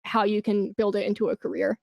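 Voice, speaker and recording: A woman's voice: medium pitch, level tone, fairly quick, creaky, a little mellow, no echo, clean studio sound. A woman guest in a conversational interview, giving career advice in an informal, encouraging and emphatic way. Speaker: podcast guest, esports brand and community manager.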